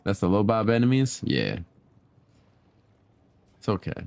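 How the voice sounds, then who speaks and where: A man giving casual live commentary as he streams a game, laid-back, slangy and quick to swear. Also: lowish voice, very animated, creaky, darkish tone, dry recording.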